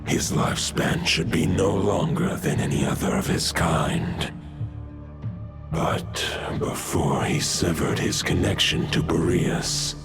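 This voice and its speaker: An actor playing an ancient, vast male wolf god who speaks in a grave, archaic, measured way, in a video game. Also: heavily acted. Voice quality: deep gravelly voice.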